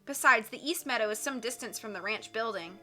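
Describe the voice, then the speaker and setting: A woman's voice: a little high, brisk, bright, forceful tone, soft background music. A woman reading aloud, voicing a giggly, nervous young woman friend who talks in a fretful, chatty way. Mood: informative.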